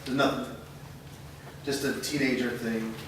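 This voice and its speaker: A man's voice: medium pitch, fairly even intonation, a little slow, noisy background, lightly acted. A man reading a smug, controlling stepfather who threatens his son. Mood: smooth. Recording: roomy echo, far from mic.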